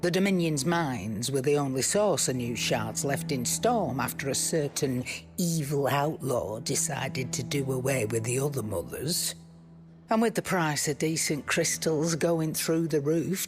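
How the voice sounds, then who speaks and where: Blunt, lively Northern English fantasy trader woman. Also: sits low, a little slow, soft background music. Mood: speculating. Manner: plain-spoken, folksy. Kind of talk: video game dramatic dialogue.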